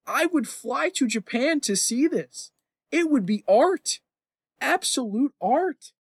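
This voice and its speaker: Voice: high voice, lively intonation, slow pace, husky, no room sound, clean studio sound. A podcast host chatting casually in an opinionated ramble, emphatic and sweary, often repeating phrases for emphasis.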